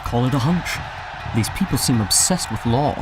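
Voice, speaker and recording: An actor playing a bookish, quick-witted adventurer in an audio drama, articulate and reasoning. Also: male voice, medium pitch, expressive, noisy background, lightly acted. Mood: careful, reasoning.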